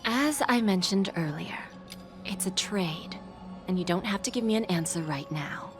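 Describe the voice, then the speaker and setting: A woman playing a shrewd, enigmatic dealmaker in a game cutscene, unhurried and self-assured. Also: mid-range voice, expressive, lightly acted. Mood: patient, sly. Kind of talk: dramatic video game cutscene dialogue. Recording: music under the voice.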